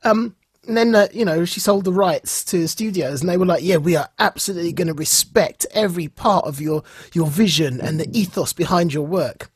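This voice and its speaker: A man's voice: high-pitched, fairly quick, husky, dry recording, clean studio sound. A man from England with a British accent, co-hosting a podcast and telling anecdotes conversationally, acting out reactions as he goes. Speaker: podcast co-host. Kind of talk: casual chat, telling anecdotes.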